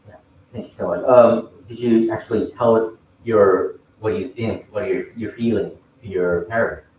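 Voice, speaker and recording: A man, a contest judge asking a speaker questions in a halting, hesitant way, with many fillers and restarts. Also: on the low side, fairly even intonation, a little mellow, a little hiss or hum. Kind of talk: live question and answer at a speech contest. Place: large hall at a speech contest. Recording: echoey hall, mic at a distance.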